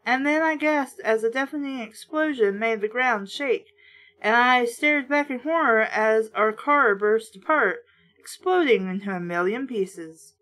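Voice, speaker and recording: A woman's voice: fairly high pitch, unhurried, fairly bright tone. A woman narrating a storybook aloud as host, breaking off into blunt, sardonic asides in a casual way. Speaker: host reading a children's horror book aloud with commentary.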